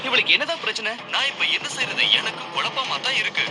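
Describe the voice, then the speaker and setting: A man's voice: very high-pitched, animated, quick pace, noisy background. An actor playing an earnest, exasperated young male dragon racer in a dubbed cartoon. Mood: confused. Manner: questioning, exasperated. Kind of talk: Tamil cartoon dub acting. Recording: loud music behind the voice.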